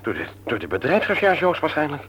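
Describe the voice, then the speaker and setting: An actor playing a stern, patronising man in authority in a radio drama, lecturing emphatically in a husky voice. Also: fairly high pitch, wildly swooping pitch, fast, a bit bright, dull audio, a little hiss or hum. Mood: worried. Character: stern, patronising older brother and works supervisor, adult man.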